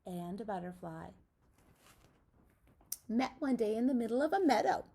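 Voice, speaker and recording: A woman's voice: a little high, animated, normal speed, warm tone, a little hiss or hum. A woman teacher reading a picture book aloud expressively to young children, adding encouraging asides and questions.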